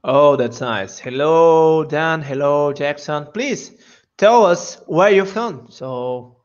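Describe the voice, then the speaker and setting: A man's voice: fairly high pitch, expressive, slow pace. A man from Brazil hosting a video-call meetup, talking informally with loose phrasing. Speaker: meetup host. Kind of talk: casual hosting chat. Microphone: headset on video call. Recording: dull lo-fi call audio.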